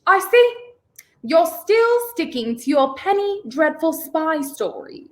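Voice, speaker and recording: A woman's voice: extremely high pitch, very animated, slow, exaggerated voice. A young woman playing a stubborn, indignant, plaintive heroine in a 1930s radio play. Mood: scornful. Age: young adult.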